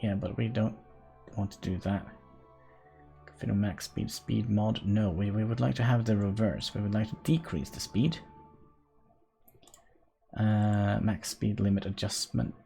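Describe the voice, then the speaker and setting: A man's voice: fairly low pitch, fairly even intonation, measured pace, vocal fry, pauses often, mellow, soft background music. A man from Norway, chatting casually to his live-stream viewers while he plays, laughing often. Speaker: game streamer.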